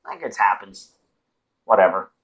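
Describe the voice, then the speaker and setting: A man's voice: sits low, unhurried, a bit bright. A man from Israel with an Israeli accent, hosting a casual online chat and joking loosely, with many fillers and restarts. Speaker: podcast host.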